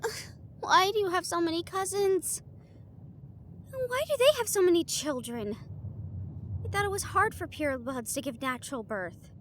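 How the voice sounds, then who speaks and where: A woman's voice: very high voice, expressive, moderate pace, long pauses, a bit bright, slight background noise. A woman playing an earnest, studious, slightly bossy young woman in a scripted audio roleplay, speaking in an organized, teasing, formal way. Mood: exasperated.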